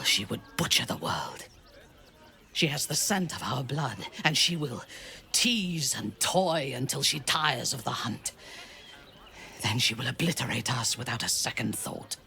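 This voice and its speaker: An actor voicing a wary male adventurer companion who speaks in a grave, resolute and measured way. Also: medium pitch, creaky, bright, forceful tone, exaggerated voice. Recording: game audio with music underneath.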